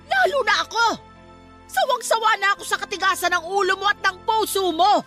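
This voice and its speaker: A woman's voice: very high voice, expressive, fairly quick, sharp tone, soft background music, heavily acted. A woman playing a stern but caring middle-aged religious aunt in an emphatic Tagalog radio drama. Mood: angry, scolding. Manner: emphatic, scolding then consoling.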